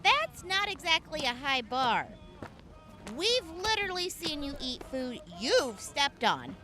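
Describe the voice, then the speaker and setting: Crude, sardonic wasteland woman, played by an actress, very slow drawl of delivery, bright swooping voice. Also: sits high, sing-song, faint music behind, exaggerated voice. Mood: sarcastic. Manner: sardonic, foul-mouthed, drawn-out. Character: crude, sarcastic, lazy female wasteland survivor. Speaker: voice actor. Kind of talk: post-apocalyptic audio drama acting.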